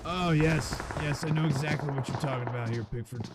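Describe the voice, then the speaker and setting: A man's voice: a little high, a little fast. A man commentating a live esports match, playing along dryly with his co-caster's jokes. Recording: steady game noise behind the voice.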